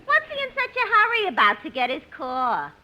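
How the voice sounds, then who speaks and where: Casting: inquisitive New switchboard gossip, high voice, very sing-song range, slangy 1950s radio comedy style. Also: huge pitch swings, fairly quick, pushed and bright, full character voice, poor audio. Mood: curious, puzzled. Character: nosy, slangy female switchboard operator, sidekick gossip. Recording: hissy 1950s radio broadcast recording.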